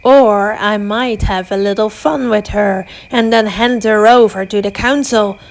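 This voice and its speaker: A woman voicing a cruel male werewolf who snarls loud threats at a wizard. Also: mid-range voice, steady tone, measured pace, natural voice, rough recording. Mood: taunting.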